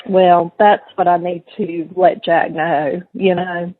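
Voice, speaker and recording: A woman's voice: on the low side, fairly even intonation, slow, a little hiss or hum. A middle-aged woman talking casually on a recorded phone call. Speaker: mother on a call to her son in jail. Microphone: telephone.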